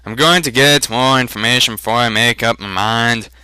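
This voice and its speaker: A man voicing a gruff, blunt farmer uncle in a dramatic novel reading. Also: medium pitch, moderate pace, pushed and bright, no echo. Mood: decisive. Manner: growling, blunt.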